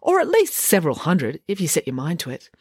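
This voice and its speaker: A brisk, oblivious scientist mother as a woman reads her aloud sails through a lesson with chirpy, sing-song confidence. Her voice leaps up and down with lively swoops. The sound is dry and close. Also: deep voice, slightly rough, no room sound, exaggerated voice. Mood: breezy. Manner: breezy, chirpy, talks over others.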